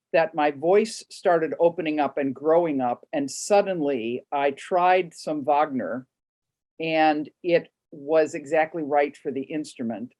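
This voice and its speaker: A woman opera singer on a panel, with a low speaking voice, telling her story in a relaxed, reflective way. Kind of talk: panel discussion.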